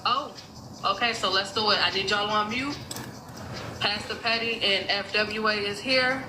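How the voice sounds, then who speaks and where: A woman's voice: mid-range voice, moderate pace. A woman hosting a mock courtroom on a live stream, calling up jurors and keeping order. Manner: directive, runs the proceedings. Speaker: mock court judge, host. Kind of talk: casual livestream hosting. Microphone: phone on live stream. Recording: echoey room with noise.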